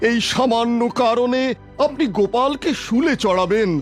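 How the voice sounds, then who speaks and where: Man playing a high-voiced, placating cartoon minister. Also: normal speed, soft background music. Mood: placating concern. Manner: deferential, placating. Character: cautious, pleading royal minister of reason. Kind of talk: Bengali comic cartoon voice acting.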